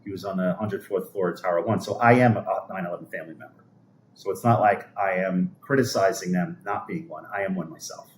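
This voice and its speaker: Low-voiced New man in his fifties. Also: fairly quick, a little hiss or hum, low-quality recording. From New York City, USA. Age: 50s. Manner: rambling, conversational, trailing off. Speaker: livestream host. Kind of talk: casual livestream chat, answering viewer comments.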